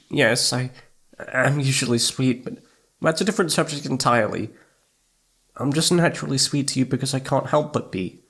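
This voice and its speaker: A sweet, needy young boyfriend, acted by a man for an ASMR roleplay. His mid-pitched voice has plenty of creak in it. He is playful and pouty, teasing closely and tripping over words when flustered. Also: a bit bright, heavily acted. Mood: sweetly boastful. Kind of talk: intimate ASMR roleplay acting.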